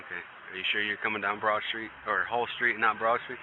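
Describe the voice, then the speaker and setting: A man's voice: lowish voice, steady tone, fast talker. An American man, a police officer, questions a driver at the roadside, persistently repeating answers back as questions. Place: outdoors at a roadside at night. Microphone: body-worn camera. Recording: tinny bodycam audio with street noise.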